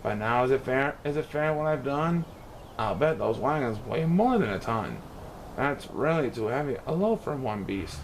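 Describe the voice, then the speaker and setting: Animated man reading a rhyming storybook. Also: medium pitch, moderate pace, slight background noise. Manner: theatrical, expressive delivery. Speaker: storybook reader. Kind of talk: animated read-aloud of a rhyming children's storybook.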